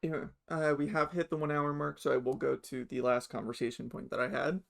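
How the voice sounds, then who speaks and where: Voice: mid-range voice, level tone, quick pace, creaky. A young adult podcast host chatting casually, frank and swearing freely.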